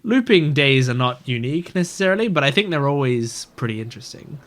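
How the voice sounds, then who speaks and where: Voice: medium pitch, sing-song, quick pace. A gamer giving casual, reflective gameplay commentary and thinking aloud. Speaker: gamer reacting on a let's play channel.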